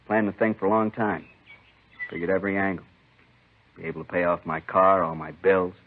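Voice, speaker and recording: A man's voice: deep voice, fairly even intonation, brisk, slight background noise, natural voice. An actor playing a weary, bitter American prop man confessing to robbery in a 1950s radio crime drama, resigned and self-justifying in short sentences. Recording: muffled old 1950s radio recording.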